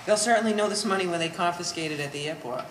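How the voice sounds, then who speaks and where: A woman's voice: on the low side, rapid-fire, close to an everyday voice. A woman playing a resentful, bookish adult daughter in a stage play, speaking with wordy sarcasm. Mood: sardonic, pedantic. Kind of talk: live stage play acting. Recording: echoey hall, distant mic, faint noise.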